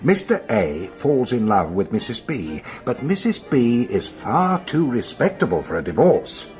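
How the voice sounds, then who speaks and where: A man's voice: mid-range voice, big pitch swings, measured pace, darkish tone, faint music behind. An actor playing a young man who reasons insistently and persuasively as an amateur sleuth in a radio drama. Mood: coolly reasoning. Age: young adult.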